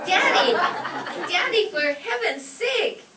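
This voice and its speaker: A woman's voice: very high voice, expressive, slow pace, over a noisy crowd, exaggerated voice. A woman playing a dutiful, earnest young daughter in an old-time radio comedy, girlish and exclaiming. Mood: shocked. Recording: roomy, distant stage pickup with faint noise.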